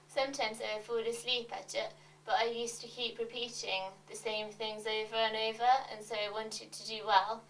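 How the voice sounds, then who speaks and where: A woman reading scripted confessions in an awkward, deadpan way. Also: on the high side, normal speed. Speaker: reader of fans' confessions. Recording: distant mic, roomy cellar, faint noise.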